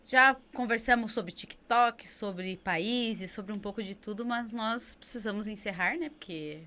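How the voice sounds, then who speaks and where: A woman's voice: medium pitch, a little slow, pushed and bright. A Brazilian woman hosting a podcast, chatty and steering the conversation toward her guests. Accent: Brazilian Portuguese. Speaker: podcast host. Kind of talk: casual podcast interview.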